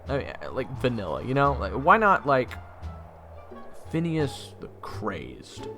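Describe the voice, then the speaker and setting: An unimpressed young fantasy soldier, played by a man, with a lively, shifting pitch. He talks casually and haltingly, with dry cheek, in an audio drama with music underneath. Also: exaggerated voice. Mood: teasing, incredulous. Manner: casual, dryly sarcastic, halting. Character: young army private hero, unimpressed, cheeky and deadpan. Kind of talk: fantasy audio roleplay acting with first-person narration.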